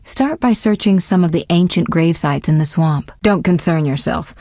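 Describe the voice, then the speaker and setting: Woman acting a worldly sorceress, video game character, slightly low voice, articulate, poised, teasing edge, very dry studio sound. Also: moderate pace, mellow, no echo, lightly acted. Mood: earnest, informative. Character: self-assured, sly sorceress, worldly and sharp-tongued. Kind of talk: scripted video game dialogue.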